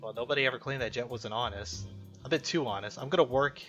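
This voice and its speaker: A man's voice: medium pitch, fast talker, pushed and bright. A man doing casual, jokey let's play commentary, reacting aloud to a game and reading its text. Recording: muffled lo-fi mic, music behind.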